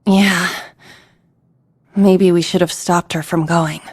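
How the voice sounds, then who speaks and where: A woman's voice: lowish voice, steady tone, takes it slowly, a little mellow, no echo, studio quality. An actress playing a frank, caring teenage girl at a military school, speaking seriously and thoughtfully in video game dialogue. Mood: regretful.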